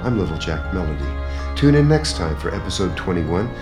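A man announces radio play credits in a deep voice. The sound is noticeably echoey, with guitar music playing behind him. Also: big pitch swings, a little fast. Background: guitar theme music.

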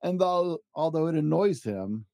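A man hosting a podcast, gently reading a children's picture book aloud to young listeners. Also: a little high, measured pace, creaky, no room sound. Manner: animated, gentle storytelling.

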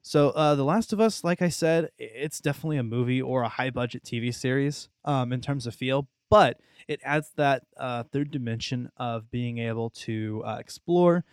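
A man's voice: mid-range voice, normal speed, hardly any pauses, clean tone, no echo. A young man co-hosting a casual podcast, talking in a chatty, rambling way. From Texas, United States. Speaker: podcast co-host. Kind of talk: casual video game discussion.

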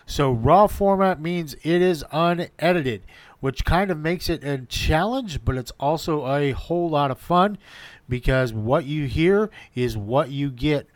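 A man's voice: fairly high pitch, animated, unhurried, no echo. A man hosting his own podcast, giving an earnest solo update and listing his points in repeated runs. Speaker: podcast host.

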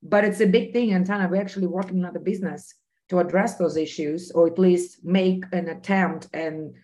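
A woman's voice: on the low side, steady tone, normal speed, warm tone. A woman who is a native Russian speaker with a Russian accent, talking earnestly and emphatically as a guest in a conversational interview, repeating phrases for emphasis. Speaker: podcast guest, entrepreneur.

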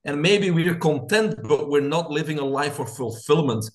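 A man's voice: a little high, normal speed. A middle-aged man from Belgium with a Belgian accent, a coach who talks through his life story in an earnest, motivational way as a podcast guest. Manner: earnest, motivational, speaks in lists. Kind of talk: interview storytelling.

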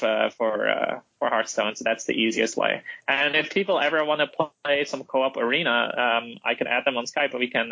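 A man's voice: medium pitch, pushed and bright, dry recording, dull audio. A man from Flanders with a Flemish Dutch accent, chatting casually as a podcast guest with many fillers and restarts. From Flanders, Belgium. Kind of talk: casual game chat.

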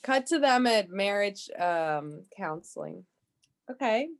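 A woman's voice: mid-range voice, expressive, slow. A woman playing an unorthodox marriage counselor, blunt and casual, in an improvised comedy sketch.

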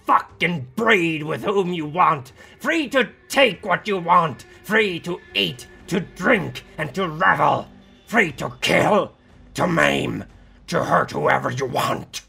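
A man's voice: medium pitch, a little slow, vocal fry, sharp tone, breathy edge, soft background music, voice pushed up, heavily acted. A man voicing a depraved, exultant wolf creature cult, chanting and declaiming in a dramatic fantasy story. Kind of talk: dramatic audiobook-style character acting.

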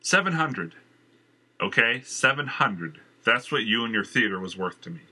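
A man's voice: mid-range voice, very animated, moderate pace, pushed and bright, breathy edge, full character voice. A man voicing a frightened but defiant young woman singer who stammers and trembles. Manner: stammering, shaky.

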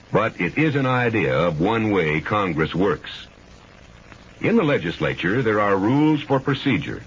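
A man's voice: low voice, a little hiss or hum. A man reading scripted, explanatory narration as a radio announcer. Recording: dull old radio broadcast.

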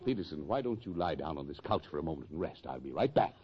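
An actor playing a polite but exasperated doctor, formal and a bit flustered, in a 1940s radio comedy. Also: male voice, very deep voice, very animated, fast, husky, exaggerated voice. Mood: strained patience. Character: exasperated, polite male doctor with dry patience. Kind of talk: 1940s radio sitcom acting. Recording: dull vintage 1940s radio.

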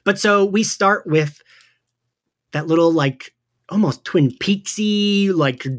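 A man's voice: high-pitched, slow pace. A man in his forties hosting a podcast, talking casually and chattily in a fan discussion, giggling often. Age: 40s. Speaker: podcast host.

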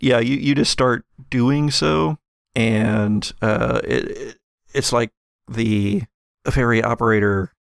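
A man working as game master, narrating a tabletop story in a halting, conversational, improvised way. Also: mid-range voice, fairly even intonation, vocal fry, smooth, dry recording, clean studio sound.

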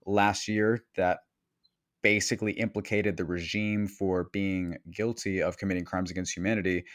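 A man from Venezuela hosting an interview podcast, talking in quick, earnest bursts. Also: deep voice. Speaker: podcast host.

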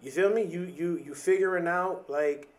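A man in his thirties with a fairly high voice muses in a slow, reflective podcast monologue. He doubles back on his words and drops easy slang. His voice sits a little back from the microphone. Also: far from the mic. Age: 30s. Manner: thoughtful, repeats and restarts words, casual slang.

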